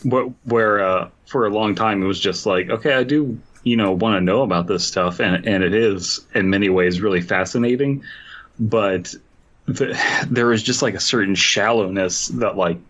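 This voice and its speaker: A man's voice: fairly low pitch, moderate pace, fairly bright tone. A man hosting a podcast in casual comedic talk, with a mock-serious, sardonic way of speaking. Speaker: podcast host.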